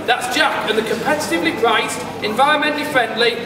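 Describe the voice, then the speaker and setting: A man's voice: extremely high pitch, quick pace, crowd noise. A man presenting a comic scripted stage introduction with mock-grand announcing. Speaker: pantomime presenter. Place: large hall, live stage performance, recorded from afar. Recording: distant, echoey hall, loud background noise.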